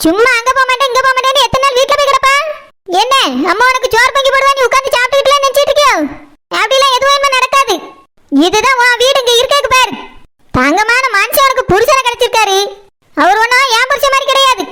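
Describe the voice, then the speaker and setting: A woman's voice: very high-pitched, bright, forceful tone. A woman voicing a stern, scolding married elder sister in a village cartoon, speaking with a Kanyakumari Tamil accent. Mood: stern lecturing. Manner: scolding, threatening, lecturing. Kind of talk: village comedy-drama cartoon dialogue.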